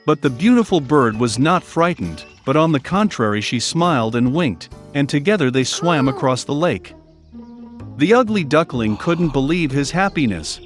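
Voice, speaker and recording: A man narrating a children's fairy tale in a gentle, evenly paced storytelling manner. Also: on the high side, expressive, moderate pace, soft background music.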